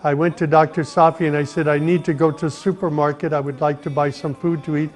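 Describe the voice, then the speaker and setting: A middle-aged man from the United States, earnestly telling his own life story at an unhurried pace, with pauses and restarts. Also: on the high side, little pitch movement. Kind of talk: personal testimony, reflective storytelling. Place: Saudi Arabia. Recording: soft music behind the voice.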